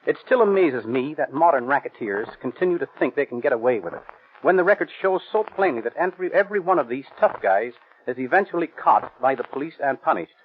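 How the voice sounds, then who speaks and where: Stern police captain, muffled noisy old radio. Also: male voice, mid-range voice, lively intonation, fast talker. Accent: American. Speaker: police captain, guest speaker. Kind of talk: scripted formal radio address.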